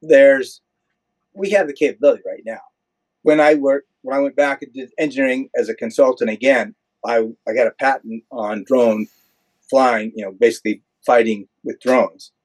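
A man's voice: mid-range voice, normal speed, rough recording. An older American man, an airline pilot being interviewed, explaining things in a conversational, matter-of-fact way. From United States.

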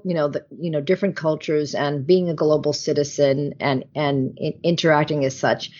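A woman hosting an interview, asking long questions with many restarts. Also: low voice. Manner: wordy, searching questions with restarts. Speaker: interview host. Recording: dull lo-fi audio.

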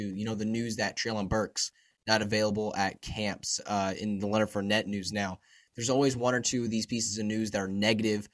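A young man, hoarse and froggy from a sore throat, chatting eagerly as a podcast guest and repeating himself. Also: lowish voice, a bit bright. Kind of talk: casual fantasy sports podcast chat.

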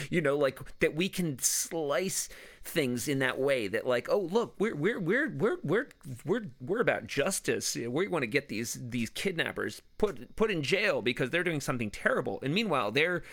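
A man speaking in a casual, informal conversational style with a wry, animated manner. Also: a little high, moderate pace.

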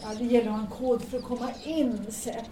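Actress as older Swedish-drama mother, high-pitched, kindly and rambling, recorded in a noisy, echoey space. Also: close to an everyday voice. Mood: chatty, proud. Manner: repetitive, rambling, questioning. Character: chatty, kindly, cautious older mother living in the building. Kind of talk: naturalistic audio drama acting. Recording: echoey, distant, noisy with birds.

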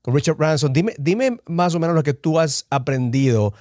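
Dry-sounding man, halting. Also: a little high, lively intonation, darkish tone, no room sound. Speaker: podcast co-host. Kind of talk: casual conversational hosting. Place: remote call.

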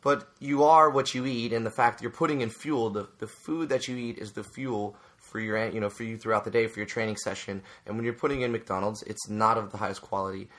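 A man's voice: fairly low pitch, fairly even intonation, a little fast, hardly any pauses, a bit bright. A man who coaches youth soccer, co-hosting a casual advice chat, earnest and growing emphatic as he makes his points. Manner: earnest, rises to emphatic when making a point; frequent fillers and restarts.

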